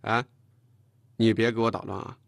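一位男演播者在有声书里演一个倔强、说话干脆、带点嘲弄的年轻男记者。. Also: male voice, lowish voice, level tone, moderate pace, gravelly, fairly bright tone, no echo. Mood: irritated, firm. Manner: firm, wry. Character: stubborn, gruff young male newspaper reporter. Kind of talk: audiobook character dialogue.

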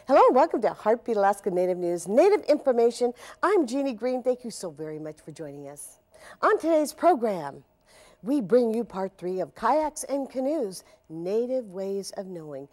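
A woman's voice: medium pitch, very animated, normal speed, darkish tone. A middle-aged woman presenting a native news program in a television studio. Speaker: host.

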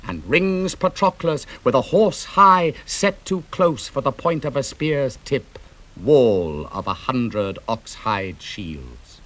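Slow, theatrical male poetry reader. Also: medium pitch, lively intonation. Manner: theatrical, swings from hushed to ringing. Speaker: audiobook reader. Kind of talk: dramatic reading of epic poetry.

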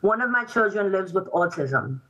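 A woman's voice: mid-range voice, lively intonation, moderate pace, fairly bright tone, lo-fi sound. A woman in her forties, from Puerto Rico and raised in New York City, reading a prepared statement earnestly as a client testifying at a public hearing over a video call. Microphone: video call webcam.

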